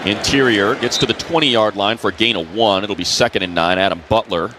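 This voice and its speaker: Male football play-by-play announcer, low pitch, clipped descriptive delivery, crowd roar, background noise. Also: a little fast. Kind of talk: live American football radio play-by-play commentary. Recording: crowd and ambient noise behind. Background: stadium crowd noise.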